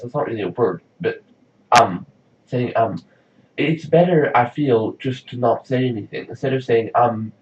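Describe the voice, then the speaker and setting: A man's voice: medium pitch, a little mellow, a little hiss or hum. A young man from South Africa rambling casually in a video diary, leaning heavily on "like". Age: young adult. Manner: rambling, chatty, says "like" often. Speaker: vlogger. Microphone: camera mic from a distance. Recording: roomy, distant, dull lo-fi sound.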